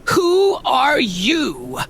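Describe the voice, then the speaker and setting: A woman's voice: high-pitched, wildly swooping pitch, very slow, a bit bright, a little hiss or hum, heavily acted. A woman voicing a fierce, commanding raider chieftain, proud and declamatory, in an audio drama.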